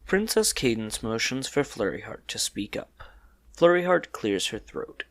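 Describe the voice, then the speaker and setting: A man's voice: medium pitch, sing-song, unhurried. A man narrating a scripted story in the third person, in a storybook style.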